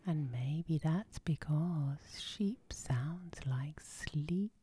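Very mellow whispering woman, low and slow. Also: breathy edge. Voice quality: soft, whispery. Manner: slow, soothing, gentle. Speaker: hypnotherapist, channel host. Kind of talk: ASMR guided sleep hypnosis.

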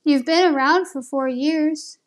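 A woman's voice: high voice, unhurried. A woman video host talking casually in a questioning, conversational way, as if on a phone call.

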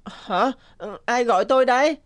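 A woman's voice: on the high side, normal speed, close to an everyday voice. A woman voicing a kind, humble young orphan boy in a cartoon, polite and earnest. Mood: surprised, earnest.

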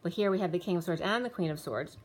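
A woman giving a casual tarot reading, rambling with restarts and going a little hoarse as she loses her voice. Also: lowish voice, fast, a little hiss or hum. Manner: rambling, many restarts and fillers, reads off card names and times. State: losing her voice. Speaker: tarot reader. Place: indoors, room with a table of spread cards.

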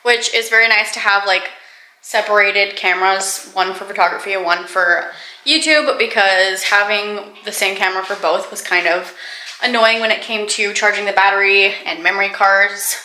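A young woman talks to a handheld camera in a chatty, excited home vlog, with light laughter slipping into her sentences. The room is echoey, and clear household noise runs behind her. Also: medium pitch, normal speed. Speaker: vlogger. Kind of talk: casual vlog talk to camera. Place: home living room and kitchen, indoors. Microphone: handheld vlogging camera at arm's length.

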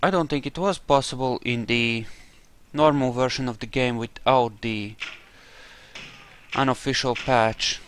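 A man's voice: mid-range voice, a little slow, fairly bright tone, clear, resonant tone, no room sound. A man giving casual gameplay commentary, muttering asides to himself as he plays. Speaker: gamer commentating on a playthrough.

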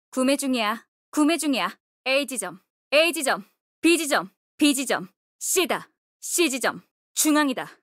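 Young female game guardian, high pitch, firm, resolute, solemn commands, dry close studio sound. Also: a bit bright, no echo. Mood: firm, focused. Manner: solemn, commanding, short crisp delivery. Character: resolute, composed young woman healer and battle guardian. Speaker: game character voice actor. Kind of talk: video game character voice lines.

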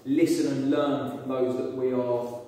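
A man's voice: medium pitch, fairly even intonation, measured pace, mellow, a little hiss or hum. A man, a police sergeant, earnestly introducing himself and his work at a community meeting, filled with hesitant ums. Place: large echoey meeting room. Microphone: phone camera from across the room. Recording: echoey room recorded from far off.

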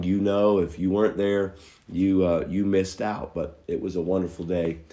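A middle-aged man, a pastor, giving earnest, folksy church announcements to an online audience from his living room, his voice a little hoarse from a cold, with many filler pauses. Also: low-pitched, warm tone.

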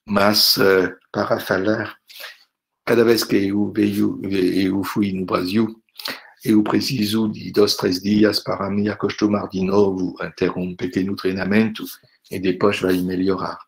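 An older man with a French accent, a visiting professor wrapping up an online lecture on a video call from home, speaking haltingly with many fillers and tag questions. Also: lowish voice, level tone, creaky. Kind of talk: closing remarks of an online lecture. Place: home room indoors. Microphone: laptop webcam on a video call.

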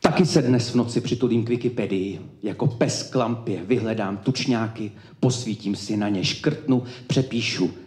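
A man performing slam poetry in a declamatory, emphatic way, with deliberate pauses between his phrases. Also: fairly low pitch, a little mellow. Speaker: slam poet. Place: indoor cultural evening event, live before an audience. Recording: echoey live room.

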